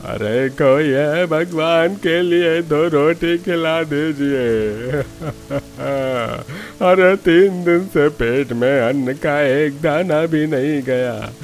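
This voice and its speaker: Slow, pleading old beggar played by a man. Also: a little high. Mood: desperate pleading. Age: older. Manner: drawn-out, pleading. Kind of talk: Hindi cartoon story acting.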